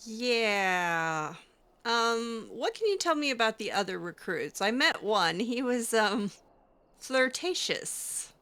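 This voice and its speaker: A woman's voice: a little high, very animated, a bit bright, exaggerated voice. A woman voicing a curious, wry young mage in an improvised video game roleplay, talking in a hesitant, conversational way. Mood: hesitant, polite. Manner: hesitant, conversational, halting asides.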